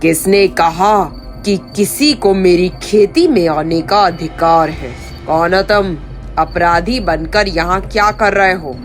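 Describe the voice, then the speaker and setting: Woman playing a stern low-voiced landlord man. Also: slow, faint music behind. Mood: stern, challenging. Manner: commanding, questioning. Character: stern proud village landlord man, grudgingly merciful.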